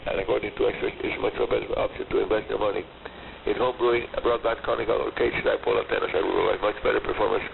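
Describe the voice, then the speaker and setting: Through a thin, noisy shortwave signal comes a male radio host with a Cuban Spanish accent. His voice is deeply creaky and he reads at a brisk clip. He presents a scripted hobby program, stressing and repeating phrases for his listeners. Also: little pitch movement. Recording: noisy, narrowband shortwave radio broadcast reception.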